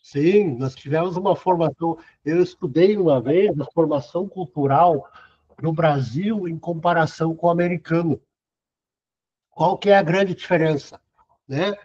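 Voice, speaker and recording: A man's voice: on the high side, moderate pace, warm tone, husky. A middle-aged man from Brazil, giving a casual, conversational lecture over a video call. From southern Brazil. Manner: conversational, sprinkles "cara" and "né". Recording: dull lo-fi video call audio.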